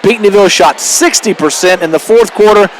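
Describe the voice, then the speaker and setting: An American man gives radio color commentary after a game. His voice is distinctly high for a man, and he leans emphatically on his points and figures. A light wash of venue noise sits behind him. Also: brisk, poor audio. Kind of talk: post-game analysis with statistics.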